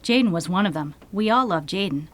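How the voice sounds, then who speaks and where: A woman's voice: medium pitch, animated, fairly quick, lightly acted. A woman playing a curt community radio operations director, matter-of-fact and dry. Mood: curt, businesslike.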